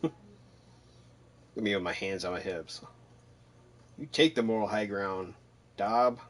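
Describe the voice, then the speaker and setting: A man's voice: lowish voice, slow pace. A man giving casual, wry, mildly sarcastic commentary as he plays through a game. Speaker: gamer narrating own playthrough.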